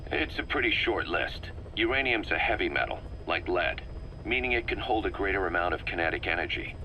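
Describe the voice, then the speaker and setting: An actor playing a serious military second-in-command in a video game, giving matter-of-fact explanatory briefings. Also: male voice, low voice, expressive, fairly quick, sharp tone, slight background noise, poor audio. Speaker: military deputy briefing his boss.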